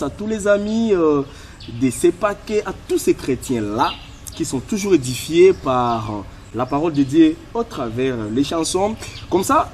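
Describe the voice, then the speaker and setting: A man hosting a gospel web show, interviewing a singer in French mixed with Lingala, with many pauses. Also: on the high side, a little mellow, slight background noise. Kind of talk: casual interview.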